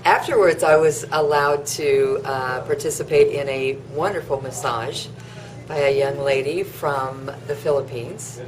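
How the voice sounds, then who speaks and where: A woman, a cruise passenger, cheerfully describing a spa treatment in a halting, unhurried way. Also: sits low, low-quality recording. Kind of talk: casual chat.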